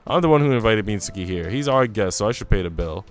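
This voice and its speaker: A man's voice: fairly low pitch, animated, fast talker, faint music behind, lightly acted. A man voicing a polite, considerate young mother from a visual novel. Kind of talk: visual novel character read aloud.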